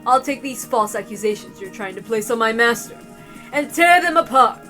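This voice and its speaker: A haughty golden witch from a visual novel, performed by a woman. She declaims in a fairly high voice with grand, defiant confidence. Music plays faintly underneath. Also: animated, normal speed, bright, forceful tone. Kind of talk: dramatic visual novel character reading.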